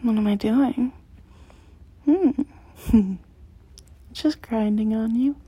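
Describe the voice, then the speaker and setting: A woman performing an intimate audio roleplay in a soft, close whisper. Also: fairly high pitch, drawn-out words, a little hiss or hum.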